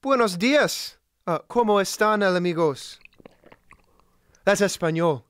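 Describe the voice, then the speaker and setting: An actor playing a drunken outlaw villain in a western audio drama, taunting with mock politeness. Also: male voice, high voice, expressive, a little slow, a bit bright, smooth, dry recording, studio quality.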